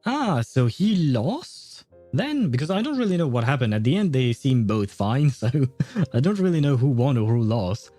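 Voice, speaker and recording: Male streamer, relaxed mellow tone, casual live game commentary, hesitant phrasing, light music in the background. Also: medium pitch, moderate pace. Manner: hesitant, searching for words. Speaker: game livestreamer.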